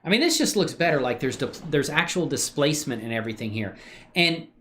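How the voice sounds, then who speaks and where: A man's voice: on the high side, fairly quick, slight background noise. A man livestreaming his 3D modeling work, thinking aloud in a casual, slangy way and answering questions from chat. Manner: relaxed slangy talk with many fillers.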